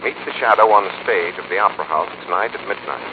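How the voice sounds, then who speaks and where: Actor as low, ominous invisible avenger, crackly old radio. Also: male voice, lightly acted. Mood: ominous, taunting. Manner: measured, formal, ominous. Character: the Shadow, mysterious invisible male crime fighter, commanding, taunting. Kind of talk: 1940s radio mystery acting. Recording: muffled, noisy 1940s radio recording.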